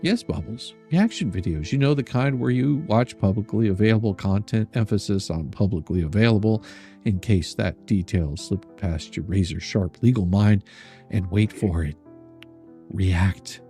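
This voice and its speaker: A man's voice: lowish voice, normal speed, warm tone, faint music behind. An older man hosting a stream, reading a letter aloud in a sarcastic, mocking and theatrical way. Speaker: streamer and host.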